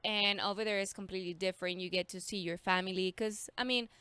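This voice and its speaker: A woman in her twenties with a Mexican accent talks casually as a podcast guest, laughing easily and quoting herself. Her voice is bright and smooth. The sound is dry and close. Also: medium pitch, fairly even intonation, quick pace, no echo. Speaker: guest, teacher.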